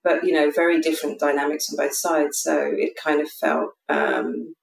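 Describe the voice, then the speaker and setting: A woman in her thirties with a London accent speaks hesitantly, picking her words with plenty of ums and soft restarts. She sounds far from the microphone, and her voice rings in an echoey room. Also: deep voice, sing-song, normal speed, vocal fry. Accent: London English. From England. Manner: hesitant, thoughtful, many fillers. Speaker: guest. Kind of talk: casual conversation. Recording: distant mic in an echoey room.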